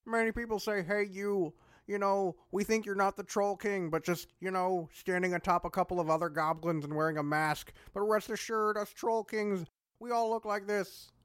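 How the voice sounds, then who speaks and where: A woman's voice: mid-range voice, fairly even intonation, moderate pace, no echo, studio quality, natural voice. An actress playing a bumbling, insistent fake Troll King made of goblins, pompous and stumbling, in a comic fantasy audio drama. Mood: boastful. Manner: pompous, stumbling over own claims.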